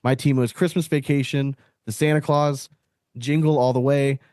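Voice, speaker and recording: A man in his late thirties hosts in a casual, chatty way. His voice sits at a middle pitch, and the recording is dry with very little room sound. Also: steady tone, moderate pace, a little mellow, no room sound. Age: late 30s. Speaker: podcast host. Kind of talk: casual chat.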